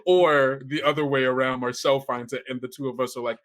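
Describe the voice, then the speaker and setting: A man's voice: medium pitch, steady tone, fairly quick, a bit bright. A man planning a tabletop game story casually with friends, often restarting his thoughts as a podcast player. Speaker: player in an actual-play podcast.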